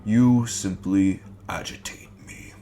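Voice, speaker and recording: A man's voice: deep voice, steady tone, slow, warm tone. A man playing a menacing conqueror supervillain in an amateur comic-book dramatic reading, with cold, theatrical deliberation that turns to rage. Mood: cold menace. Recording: music behind the voice.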